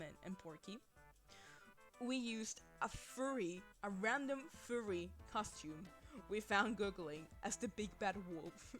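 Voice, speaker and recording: Young female streamer, Spanish first language; chatty, rambly, quick to laugh; mid-pitched; faint music bed. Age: young adult. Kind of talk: casual livestream chat. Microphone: desk microphone.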